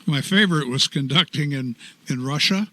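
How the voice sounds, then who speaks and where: A bright, clear-toned older man sits in a radio studio as the guest. He tells his stories in a genial way and chuckles at his own tales. His voice sits a little higher than most men's. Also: moderate pace. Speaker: choral society artistic director, guest. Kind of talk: radio interview, storytelling.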